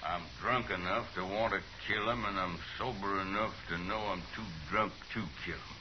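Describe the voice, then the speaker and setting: A man's voice: sits low, fairly even intonation, normal speed, lightly acted. A man acting a proud, brooding, drunken rancher, blunt and slurred, in a 1950s radio drama. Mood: drunk, grim, insistent. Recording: muffled hissy 1950s radio recording.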